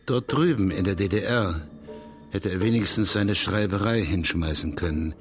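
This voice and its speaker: An actor playing a detached narrator of a bleak life in a literary audio drama, measured and bitter. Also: male voice, low-pitched, close to an everyday voice. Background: music.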